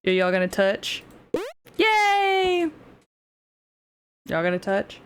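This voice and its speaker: A young woman with a deep, smoky voice, chatting and cursing casually while streaming a game. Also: sing-song, slow pace. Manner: crude, swears, laughs, sings along. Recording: faint music behind.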